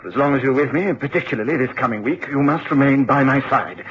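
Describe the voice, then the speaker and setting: An actor playing a secretive, commanding aristocrat with an upper-class English accent in a radio play, pompous and given to hesitating and repeating himself. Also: male voice, medium pitch, fast talker, natural voice. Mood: insistent, secretive. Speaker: supporting character in a radio play. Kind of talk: British radio drama acting. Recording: muffled, noisy old radio broadcast tape.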